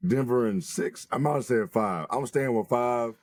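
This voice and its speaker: A hoarse man who has nearly lost his voice, co-hosting a casual sports talk show with emphatic, profane opinions. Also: mid-range voice, normal speed, dry recording, studio quality. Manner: animated, emphatic, swears freely. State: losing his voice. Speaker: podcast co-host.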